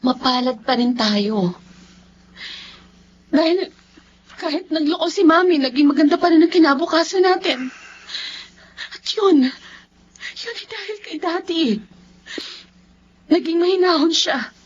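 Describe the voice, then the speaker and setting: Very high-voiced, earnest daughter. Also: female voice, animated, unhurried, long pauses. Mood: earnest, reflective. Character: soft-hearted grown daughter, earnest and remorseful. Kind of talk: Filipino radio drama acting. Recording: dull lo-fi audio with music.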